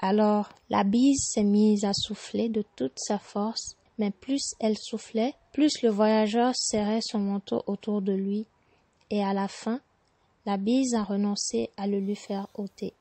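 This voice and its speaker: A woman from Benin with a Beninese accent, reading a set passage aloud in a steady, careful way. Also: medium pitch, normal speed, dry recording. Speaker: recorded contributor.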